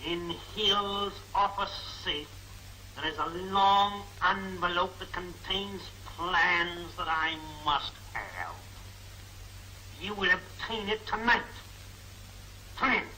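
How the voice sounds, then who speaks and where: An imperious villain mastermind from a vintage film serial. His voice is high for a man and piercingly bright, every order measured out formally, on an old, slightly noisy soundtrack. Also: full character voice. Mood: cold, commanding. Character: commanding masked crime mastermind giving orders to henchmen. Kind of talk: 1940s film serial acting.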